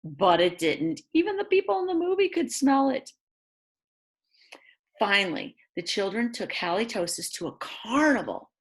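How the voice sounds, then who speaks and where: A woman reads a picture book aloud to young children in an expressive way, adding chatty asides about the pictures. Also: on the high side, moderate pace. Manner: expressive, exclaims and gasps over the pictures. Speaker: read-aloud storyteller for children.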